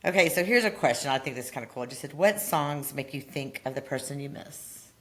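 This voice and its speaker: A supportive woman in her fifties with a notably low voice asks questions and chimes in with short agreements. She speaks in a relaxed, conversational way. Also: quick pace. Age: 50s. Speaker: co-host asking questions. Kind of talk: casual conversation.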